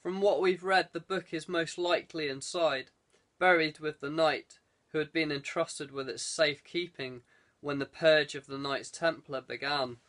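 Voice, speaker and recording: A man voicing a practical, well-read female adventurer in a measured, matter-of-fact audiobook reading. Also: on the high side, fairly even intonation, a bit bright, lightly acted.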